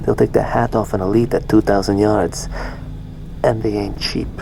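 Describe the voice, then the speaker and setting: An actor playing a gruff, wry veteran military marksman in a video game cutscene, laconic and dry. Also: on the low side, steady tone, moderate pace. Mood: dry, confident. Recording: noisy vehicle and ambience bed.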